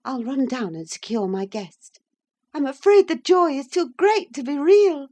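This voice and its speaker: A woman voicing an impulsive, excitable young lady who speaks in a high, breathless, gushing way. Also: measured pace, lo-fi sound. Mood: teasing. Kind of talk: audiobook character reading.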